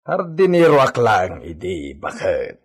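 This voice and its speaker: A man's voice: sits low, huge pitch swings, measured pace, husky, heavily acted. A man with a Filipino accent acting a proud, hardworking village farmhand in a radio drama, casual and self-assured.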